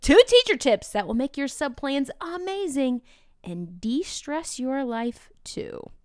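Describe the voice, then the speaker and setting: A woman hosting a podcast for teachers, talking in an energetic, chatty, enthusiastic way. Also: high-pitched, takes it slowly, dry recording, clean studio sound. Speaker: podcast host. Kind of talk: upbeat podcast talk to teachers.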